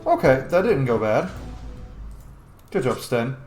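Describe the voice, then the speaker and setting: A man's voice: mid-range voice, big pitch swings, slow, darkish tone, faint music behind. Playful man gaming in an echoey room. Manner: playful, talks to game characters. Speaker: gamer narrating a playthrough. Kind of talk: casual gameplay commentary. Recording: echoey room, background noise.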